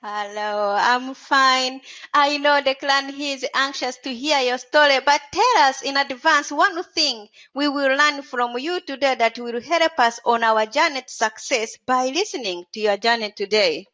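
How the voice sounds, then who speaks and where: A woman's voice: high-pitched, unhurried, pushed and bright. A woman hosting a podcast, reading a formal scripted intro and interviewing a guest. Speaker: podcast host.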